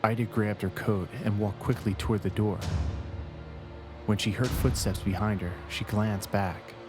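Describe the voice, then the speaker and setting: A man narrating a scary story from a script in measured, deliberate phrases. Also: on the low side, fairly even intonation. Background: background music.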